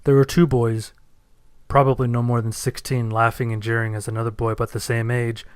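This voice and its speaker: Guy reading horror story narration, flat intonation, mid pitch, matter of fact. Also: fairly quick, smooth, dry recording. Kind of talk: first-person scripted horror narration.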